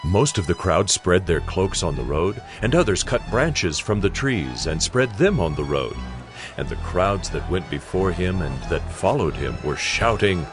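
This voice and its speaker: A man's voice: low-pitched, very animated, a little slow, music in the background. A man reading scripted narration for a dramatized audiobook.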